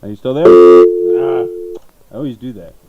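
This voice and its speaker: A man's voice: medium pitch, lively intonation, normal speed, dull audio, a loud crowd. A man co-hosting a radio show with casual, interjected banter.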